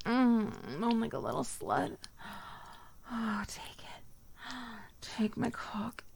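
A woman performing a dominant, teasing audio roleplay, speaking in soft whispers broken by low murmurs. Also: sing-song, slow, gravelly.

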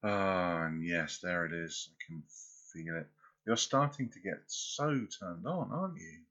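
A man performing an intimate audio roleplay, speaking softly and teasingly as if close to the listener's ear. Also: deep voice, lively intonation, unhurried, muffled recording.

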